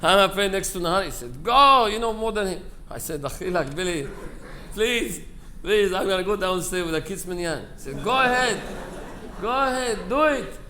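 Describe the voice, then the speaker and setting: Higher-voiced man giving a memorial speech. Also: expressive, moderate pace, pushed and bright. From Aleppo, Syria. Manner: conversational storytelling, acting out quoted dialogue, broken English grammar. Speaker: rabbi giving a eulogy. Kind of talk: informal memorial speech with personal anecdotes. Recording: live speech with clear background noise.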